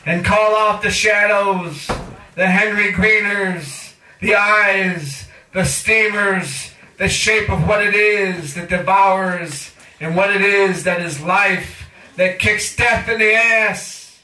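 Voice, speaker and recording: Slow, high-voiced man chanting poetry. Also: pushed and bright. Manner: incantatory, drawn-out repeated phrases. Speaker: featured poet at a reading. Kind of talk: live poetry recitation. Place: indoor cafe poetry reading. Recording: echoey room, distant microphone.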